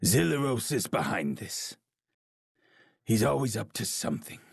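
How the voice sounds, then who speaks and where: An actor playing an arrogant, embittered warrior king and stern father in an animated game cutscene, husky and commanding. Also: lowish voice, no room sound, studio quality, heavily acted. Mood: scornful, irritated. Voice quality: gravelly, husky. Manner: slow, scornful, commanding.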